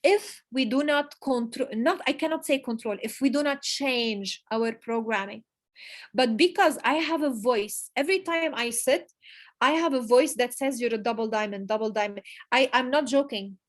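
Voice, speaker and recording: A woman giving an emphatic motivational talk to her business team, repeating key phrases and pausing for effect. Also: a little high. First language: Arabic. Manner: emphatic, repetitive, pauses for emphasis. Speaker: network marketing leader giving a motivational talk. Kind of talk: motivational speech.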